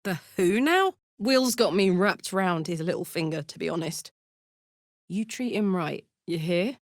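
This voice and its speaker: A woman's voice: on the low side, animated, a little slow, no echo, clean studio sound. A woman voicing a brash, big-hearted, hot-tempered warrior in a fantasy video game, speaking bluntly, informally and with open emotion. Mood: candid, earnest.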